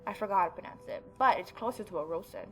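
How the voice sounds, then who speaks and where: A woman's voice: mid-range voice, fast talker, slight background noise. A woman hosting a let's play, reading a visual novel aloud and adding informal, reactive asides.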